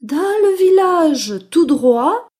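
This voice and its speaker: Friendly female sheep from a storybook, put on by a woman, full of helpful advice. They bleat in a very high sing-song that rises and falls freely. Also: very animated, very slow, darkish tone, full character voice. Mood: helpful, inviting.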